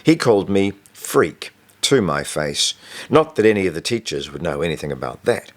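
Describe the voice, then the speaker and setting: A man with a deep, low voice narrates a novel in the first person. He reads as an author telling a scripted story, in audiobook style. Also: sing-song, normal speed. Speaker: author reading own novel.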